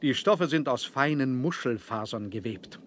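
A man acting as a mysterious, proud submarine captain in a vintage radio play. He speaks at a middle pitch, with formal courtesy and complete self-assurance. The old vinyl transfer carries clear noise. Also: lively intonation, normal speed, close to an everyday voice. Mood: courteous, inviting. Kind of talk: 1970s German adventure radio play acting.

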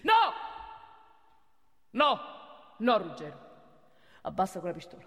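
A woman's voice: mid-range voice, huge pitch swings, slow pace, a bit bright, long reverb tail, pitched below the performer's own voice, exaggerated voice. A woman playing an older male Roman security guard in a comic stage monologue, colloquial, pleading and exasperated, with a Roman accent. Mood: exasperated, frightened.

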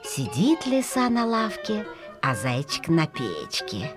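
A woman's voice: deep voice, huge pitch swings, slow pace, music in the background, exaggerated voice. A woman storyteller narrating a children's fairy tale expressively.

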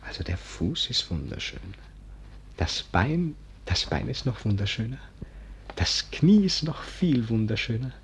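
Slow, smitten young page, old noisy recording. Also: male voice, mid-range voice, sing-song. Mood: excited, tempted. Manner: gushing, halting, hushed, lingering on words. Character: smitten young page, boyish, rapturous, tempted lover. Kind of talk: 1940s German radio play acting. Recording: noisy 1940s radio recording.

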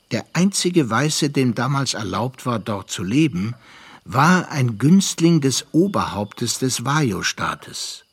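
A man narrating a literary radio play in a measured storytelling manner. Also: mid-range voice, breathy edge.

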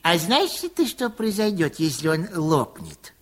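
A male actor playing a kindly, eccentric pharmacist who schemes excitedly, stammering and repeating himself in an old radio play. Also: a little high, measured pace. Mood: scheming, eager. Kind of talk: 1960s Soviet radio play acting. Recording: old radio drama with music.

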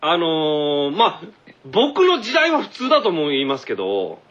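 A man's voice: a little high, huge pitch swings, unhurried, over a noisy crowd. A man with a Kansai accent hosting a radio show in casual, comic chat. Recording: dull lo-fi radio recording.

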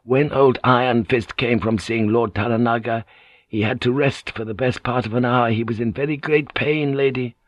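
Man doing a nervous, hushed male secretary. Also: medium pitch, steady tone, normal speed, no room sound, natural voice. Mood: confiding, worried. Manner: hushed, respectful, hesitant. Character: deferential male secretary, nervous, confiding, loyal. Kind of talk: audiobook character dialogue.

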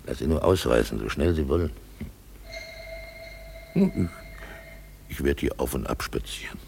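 A man's voice: extremely low pitch, expressive, normal speed, a little mellow, slight background noise, heavily acted. A man playing a blustering, self-assured simple craftsman who acts as an amateur in a comic German radio drama. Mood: puzzled, defiant. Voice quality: gravelly.

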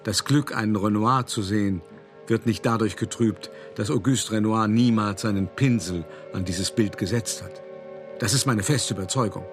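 A man's voice: lowish voice, normal speed, over music, natural voice. An actor playing a self-justifying art dealer who recounts his past in a genteel, earnest way, as a monologue in a German radio play. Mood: self-righteous conviction.

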